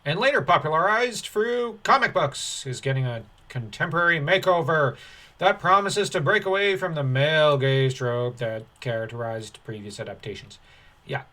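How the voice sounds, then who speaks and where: A man's voice: a little high, lively intonation, normal speed, pushed and bright. A man reading an article aloud as a commentator, breaking off into dismissive, sardonic, off-the-cuff asides. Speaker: online commentator.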